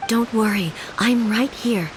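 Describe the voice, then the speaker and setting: A woman's voice: fairly high pitch, close to an everyday voice. Woman playing a composed, regal Shogun deity. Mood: calm reassurance. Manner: measured, formal, composed. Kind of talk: dramatic video game voice acting. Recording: steady background hiss and ambience.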